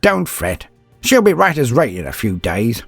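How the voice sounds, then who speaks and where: A man voicing a sardonic, unruffled inquisitor with a cruel streak, genteel and toying, in a dark sci-fi story. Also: mid-range voice, sing-song, normal speed, vocal fry, slightly rough, dry recording, soft background music, heavily acted. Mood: breezy, reassuring. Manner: breezy, genteel, toying with menace.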